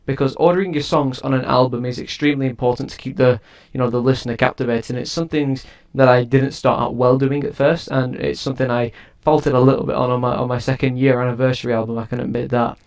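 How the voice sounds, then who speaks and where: A man's voice: mid-range voice, steady tone, fast, few pauses, darkish tone. A man giving a casual spoken review of an album, rambling and often restarting his sentences. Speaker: music reviewer and producer.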